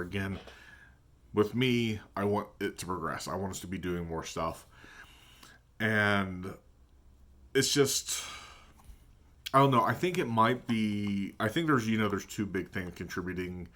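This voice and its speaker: Deep-voiced man musing hesitantly. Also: fairly bright tone. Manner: hesitant, thinking aloud. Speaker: tabletop game player. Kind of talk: casual reflective vlog talk.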